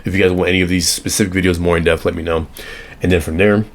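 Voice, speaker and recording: A young man with a hoarse voice, just over an illness, giving a rambling casual tutorial on automations and correcting himself as he sketches on an iPad. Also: sits low, little pitch movement, fast talker. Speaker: automation consultant. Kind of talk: casual screen-share tutorial.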